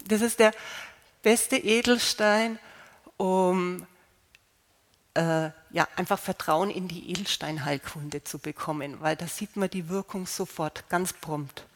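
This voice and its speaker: A woman with a slight cold calmly explaining how to use healing stones, unhurried, with fillers and restarts. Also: on the low side. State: slight cold, clearing throat. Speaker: gemstone healing presenter.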